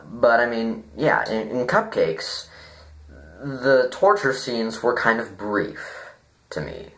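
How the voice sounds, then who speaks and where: Slow-talking, rambling man with lively pitch swings. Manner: halting, rambling, thinking aloud. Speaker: online story reviewer. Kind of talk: casual unscripted review commentary.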